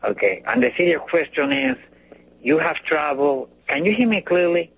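An older man with a Cuban accent calling in to a radio show, halting and searching for words. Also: a little high, lively intonation, slightly rough. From Cuba. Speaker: caller. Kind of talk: call-in radio question. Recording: tinny phone line.

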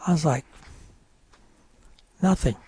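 An older man whose first language is French, from upstate New York, telling his life story as a radio guest in a halting, rambling way. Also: fairly high pitch, mellow, a little hiss or hum. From North Country of New York. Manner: rambling, halting, many uh fillers.

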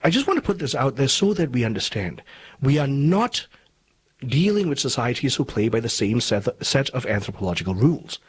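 A man's voice: mid-range voice, very animated, brisk, slightly rough. A man from Malaysia hosting a radio interview show, speaking in a formal, articulate and emphatic way, with commentary. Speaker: talk show host.

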